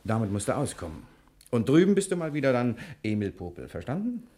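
An actor playing a genial, quick-witted fugitive rogue in a radio comedy drama, jaunty, chatty and bossy. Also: male voice, medium pitch, big pitch swings, dark tone, breathy edge. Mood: brisk, bossy.

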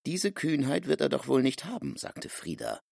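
A man's voice: medium pitch, wildly swooping pitch, normal speed, creaky, darkish tone, dry recording, clean studio sound. A man narrating a literary drama from a script.

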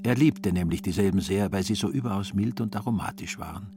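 A man narrating a literary radio play in a wry, deliberate manner with theatrical emphasis. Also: lowish voice, music in the background.

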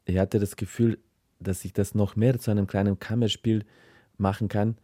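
A man from Serbia speaks as a writer being interviewed. His voice is low and mellow in a dry recording, and he explains things hesitantly, feeling for the right words. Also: level tone, moderate pace, dry recording.

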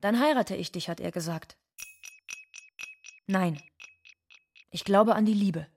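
A woman playing a stoic, bitter undocumented immigrant from Ecuador, speaking in deliberate, restrained radio drama monologue. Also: medium pitch, a little mellow, slight background noise. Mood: stoic, sad.

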